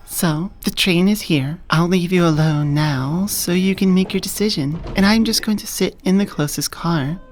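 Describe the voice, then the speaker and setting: A woman playing a confident, dominant elf coworker who flirts adoringly, soft-spoken in a close-miked ASMR romance roleplay. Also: lowish voice, normal speed, few pauses, a little hiss or hum, natural voice. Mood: teasing, seductive. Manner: teasing, doting, self-assured. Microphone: close binaural studio microphone.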